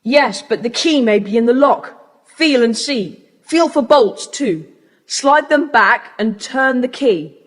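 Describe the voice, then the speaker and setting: A take-charge schoolboy in a children's audio play. The voice is fairly high and husky, with a commanding, urgent manner. It rings with long reverb. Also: female voice, animated, slow.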